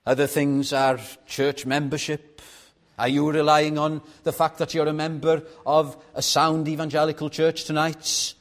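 A man with a Welsh accent, a native Welsh speaker, preaching a sermon in a measured way and putting rhetorical questions to his congregation. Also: a little high, flat delivery. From Wales.

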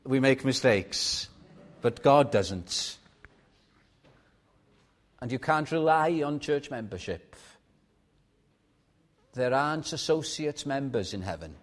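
A man with a Welsh accent, a native Welsh speaker, preaching a sermon in a measured way and putting rhetorical questions to his congregation. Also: mid-range voice, lively intonation. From Wales.

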